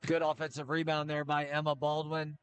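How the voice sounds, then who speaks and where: A middle-aged man doing casual play-by-play for a high school basketball game, chatty and joking, with filler pauses. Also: monotone, fairly bright tone, smooth, no echo. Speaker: play-by-play commentator.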